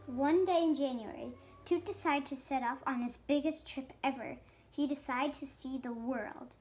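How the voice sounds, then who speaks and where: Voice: high voice, moderate pace, a little hiss or hum. A child of about seven or eight reading a picture book aloud, stumbling now and then on harder words. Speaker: young reader.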